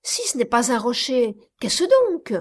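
A woman's voice: high-pitched, animated, extremely slow, mellow, pitched above the performer's own voice, full character voice. Woman reading as an ardent, pleading young lady. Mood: alarmed. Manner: pleading, urgent, exclamatory.

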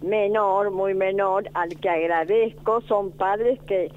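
A woman in her nineties, born in Italy, telling her memories in a slow, deliberate way as a guest. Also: medium pitch, fairly even intonation. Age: 90s. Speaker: school founder, guest. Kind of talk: reminiscing interview. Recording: tinny phone-like line, faint noise.

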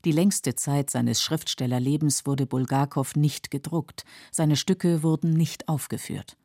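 A woman reading scripted documentary narration for a literary radio feature in a measured, factual, clearly articulated way. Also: low voice, fairly even intonation, a little mellow, no echo, studio quality.

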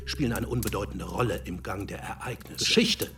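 An actor playing a fat, self-absorbed revolutionary ex-prisoner, declaiming wheezily as if to himself in a radio drama. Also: male voice, lowish voice, brisk, a bit bright, far from the mic. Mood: musing, fervent. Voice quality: wheezy, breathy.

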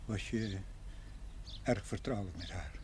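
A man playing a resigned, reflective retired schoolmaster of sixty-six in a television drama, speaking haltingly with pauses mid-sentence. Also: on the low side, lightly acted. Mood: wistful. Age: 60s. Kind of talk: naturalistic 1970s television drama acting. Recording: noisy old TV soundtrack, birdsong behind. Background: birdsong, ambient noise.

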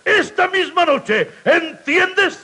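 Very high-pitched blustering old king. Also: expressive, slow, full character voice. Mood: eager, commanding. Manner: imperious, emphatic. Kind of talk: 1950s children's record acting. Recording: old 78 rpm record.

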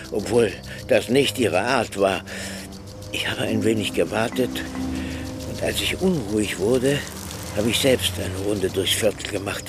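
An actor playing a frail, grieving old former clergyman, bitter and lonely, in a radio play. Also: on the low side. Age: older. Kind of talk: German radio play acting. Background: music.

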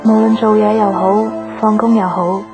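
Actress as a subdued, troubled young Cantonese daughter. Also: female voice, mid-range voice, flat delivery, moderate pace, muffled recording, music in the background, close to an everyday voice. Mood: brooding. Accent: Hong Kong Cantonese. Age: young adult. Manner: subdued, reflective. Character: troubled, dutiful young daughter, lovelorn and introspective. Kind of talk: Cantonese radio drama acting with inner monologue.

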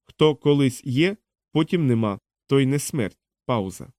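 Audiobook sci-fi computer, acted by a man, indifferent and fragmentary, each word measured apart, medium pitch. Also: lively intonation, darkish tone, no room sound, clean studio sound, lightly acted. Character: indifferent translating machine speaking in broken fragments.

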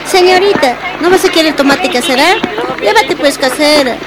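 An actress playing a friendly, coaxing market vegetable seller in a radio drama, with a Bolivian accent and sing-song vendor patter. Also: female voice, high-pitched, lively intonation, normal speed, a loud crowd.

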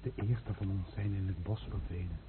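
Low, resigned actor as a doomed man. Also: fairly even intonation, lightly acted. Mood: solemn. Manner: somber, measured. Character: doomed, resigned man bidding everyone farewell. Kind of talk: solemn radio play acting. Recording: tinny old radio recording, faint hiss.

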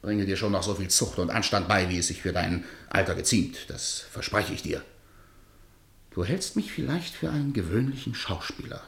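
An actor playing a strict, jealous guardian in a radio drama, stern, sarcastic and lecturing. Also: male voice, on the low side, normal speed, gravelly, a bit bright, roomy sound. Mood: scolding, sarcastic. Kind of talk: theatrical radio drama acting.

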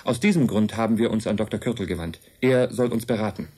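An actor in a German radio drama playing a calm, earnest envoy of an advanced race, speaking in a measured, matter-of-fact way. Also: male voice, lowish voice, slightly rough. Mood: earnest, explanatory.

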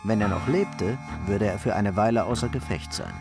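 A man narrating a crime radio play in a measured, suspenseful storytelling manner. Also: lowish voice, gravelly. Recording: music behind the narration.

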